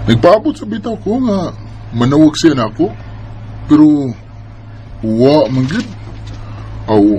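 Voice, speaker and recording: A man's voice: mid-range voice, a little mellow, a little hiss or hum, close to an everyday voice, poor audio. A man playing an earnest, jealous young boyfriend with a Bisaya Filipino accent in an amateur radio drama. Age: young adult.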